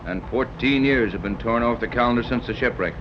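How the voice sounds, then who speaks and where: An actor playing a wry, skeptical sea captain in a 1930s radio serial, from a dull old recording. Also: male voice, medium pitch, background noise, lightly acted. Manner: dry, skeptical, brisk.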